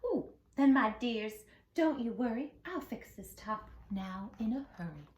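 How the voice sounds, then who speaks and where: A woman's voice: a little high, animated, measured pace, darkish tone, reverberant, a little hiss or hum. A woman voicing a happy, reassuring mother fox in a children's storybook.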